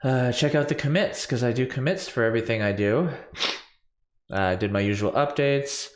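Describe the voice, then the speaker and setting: A man's voice: medium pitch, moderate pace, roomy sound. A man in his thirties, a bit stuffy from allergies, casually walking through his coding project on a livestream with lots of ums and self-corrections. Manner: rambling, filler-heavy, self-correcting. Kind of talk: casual screen-share coding walkthrough. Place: small home room with purple lights. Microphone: large studio condenser mic on boom arm.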